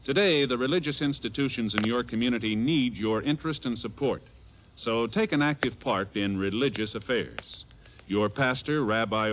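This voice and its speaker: An American man announcing formally in the style of mid-century radio. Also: mid-range voice. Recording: vintage radio with faint hiss.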